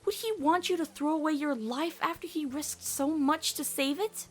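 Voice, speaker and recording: A woman's voice: sits high, normal speed, natural voice. A woman voicing a devoted, earnest teenage girl in an emotional cartoon comic dub, pleading and faltering as she speaks. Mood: firm, pleading. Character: devoted, earnest teenage girl, fearful of losing loved ones.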